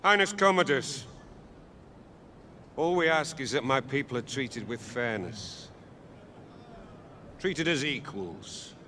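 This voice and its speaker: A man's voice: mid-range voice, expressive, takes it slowly, long pauses, pushed and bright, slight background noise. An actor playing a proud, earnest king of conquered Britons, speaking with dignity in a video game cutscene. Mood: earnest, dignified.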